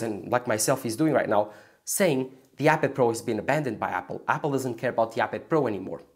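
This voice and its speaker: A man hosting a tech video, talking casually to camera and thinking out loud with repeated phrases and excited asides. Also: medium pitch. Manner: enthusiastic, repetitive, speculating aloud. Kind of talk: casual opinion vlog to camera.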